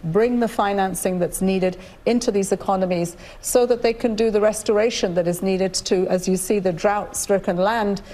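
A middle-aged woman from Nigeria speaks in a live television interview. She is earnest and measured, pausing with fillers and restarting her sentences. Her voice sits in an ordinary middle range. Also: mid-range voice, fairly even intonation, mellow. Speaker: guest, UN official. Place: studio, indoors.

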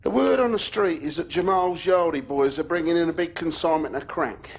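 An actor playing a hard, sneering London man in a crime game, with a gruff, taunting manner. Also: a little high, fairly quick, a little hiss or hum. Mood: menacing.

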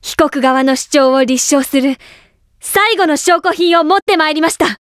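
A woman's voice: sits high, normal speed, no echo, clean studio sound, natural voice. An actress playing an earnest young female legal assistant in a game, speaking in a very formal and polite way. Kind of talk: game voice clip. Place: studio.

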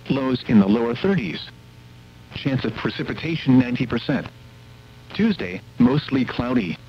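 A man reading a scripted weather forecast as a radio announcer, in a formal, measured delivery. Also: medium pitch, slightly rough. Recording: muffled weather radio broadcast, hum and static.

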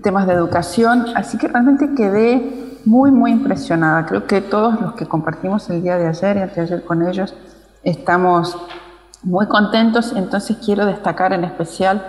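Female guest speaker, Argentine, mid-pitched, video call with long reverb. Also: normal speed, a little mellow. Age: middle-aged. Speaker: guest speaker, chapter president. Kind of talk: informal remote speech. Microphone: video call with earphones. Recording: echoey video call played in hall.

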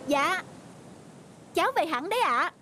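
High-pitched, earnest young man played by a woman. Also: lively intonation, a little slow, bright, forceful tone, faint music behind, heavily acted. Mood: earnest, hopeful. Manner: respectful, earnest. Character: earnest young man, devoted fisherman's son. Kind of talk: cartoon folk-tale acting.

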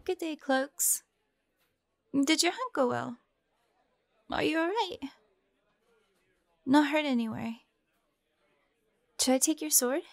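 A woman's voice: sits high, expressive, slow, vocal fry, long pauses, exaggerated voice. A woman playing a timid, eager young waitress girl in an audio drama, sweetly earnest and hesitant, with a girlish voice.

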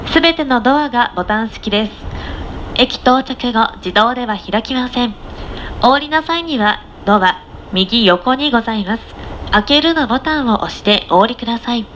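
A woman's voice: fairly high pitch, animated, normal speed, a bit bright, poor audio. A woman conductor giving formal, polite announcements on board a train. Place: inside a moving train, over the speakers. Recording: train cabin announcement with vehicle noise. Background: faint train running noise.

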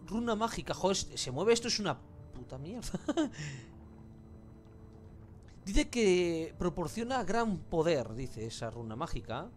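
A man's voice: sits high, sing-song, measured pace, a little hiss or hum. A man with an accent from Spain giving casual, colloquial gameplay commentary with mild swearing. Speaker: gamer, let's play host.

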